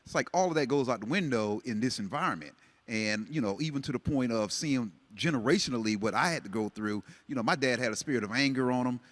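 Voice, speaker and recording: A man's voice: mid-range voice, expressive, fast, fairly bright tone. A man born in Jamaica and raised in the United States, giving earnest, reflective personal testimony on a panel. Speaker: relationship panel guest.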